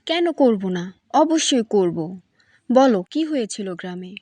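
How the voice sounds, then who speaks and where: A woman playing a caring cartoon mother with a high, soothing voice that gently coaxes. The recording is dry and close, with no room sound. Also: expressive, a little slow, no room sound. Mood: concerned. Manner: soothing, coaxing. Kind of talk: cartoon voice acting.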